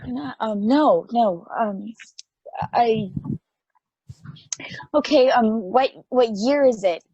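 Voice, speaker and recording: A woman playing a confused, anxious space probe, speaking haltingly in an amateur play reading. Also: a little high, warm tone, husky, dry recording. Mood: bewildered. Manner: hesitant, halting. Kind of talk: amateur play table read. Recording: dry, dull lo-fi audio.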